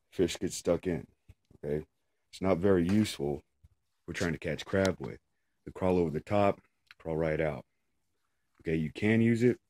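A middle-aged man giving a casual how-to talk, plainspoken, often checking in with "okay" and "right". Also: low-pitched. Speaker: fishing vlogger.

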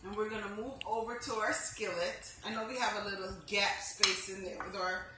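A woman's voice: mid-range voice, steady tone, normal speed. A middle-aged woman hosting a home cooking demonstration in her kitchen, chatty and narrating each step as she goes. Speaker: home cooking show host. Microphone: camera across the kitchen. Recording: echoey kitchen, far from camera, loud hiss. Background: sizzling skillet.

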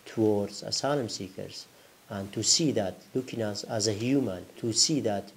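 A man from a Kurdish region, interviewed, speaking slowly and searching for words. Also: fairly low pitch. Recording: faint hiss.

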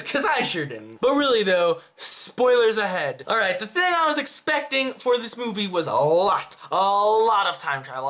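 A young man talks casually to camera in a small room at home. His delivery is animated, with pitch that swings up and down. He rambles and often breaks off to correct himself, like a chatty video reviewer. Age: young adult. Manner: animated, rambling, self-interrupting. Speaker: movie reviewer.

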